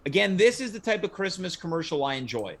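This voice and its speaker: A man's voice: high voice, very animated, fast. A man hosting a casual panel chat, reading out comments and steering his guests with questions. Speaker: host.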